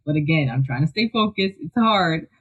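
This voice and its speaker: A person from Philadelphia, a guest talking in an enthusiastic, chatty way full of "like" and quick laughs. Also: medium pitch, lively intonation, dull audio. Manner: enthusiastic, chatty, filler-heavy, laughs often. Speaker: guest, technical writer. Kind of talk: casual interview chat.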